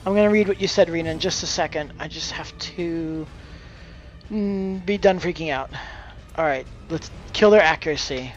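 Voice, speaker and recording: Guy streaming a game, pretty high voice, rambles thru tips, kinda dull lofi mic. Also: moderate pace, faint music behind. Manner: hesitant, thinking aloud, exclaiming at the game. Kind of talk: casual live gaming commentary with tips for a fellow player.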